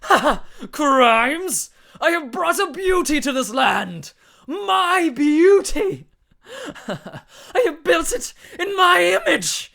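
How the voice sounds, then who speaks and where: A man doing a shrill cackling sorcerer. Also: sing-song, slow, creaky, pushed and bright, exaggerated voice. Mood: gloating. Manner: cackling, gloating. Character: cackling vain male sorcerer, gloating and shrill.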